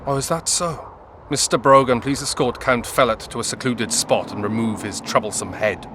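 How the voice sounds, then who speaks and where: An actor playing a proud, resolute young prince in a video game, with a formal, measured, haughty manner. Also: male voice, mid-range voice, background noise, close to an everyday voice. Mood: cold anger. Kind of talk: dramatic video game acting.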